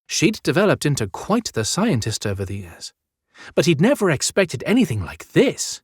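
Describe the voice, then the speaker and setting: A man narrating a fantasy audiobook as scripted storytelling, with measured, clearly phrased delivery. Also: a little high, huge pitch swings, no echo, studio quality.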